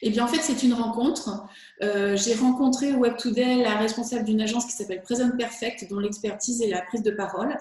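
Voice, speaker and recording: A woman's voice: a little high, fairly even intonation, fairly quick, a little mellow. A French woman working as a speaking coach, answering interview questions with enthusiasm and occasional fillers. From France. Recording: very echoey room.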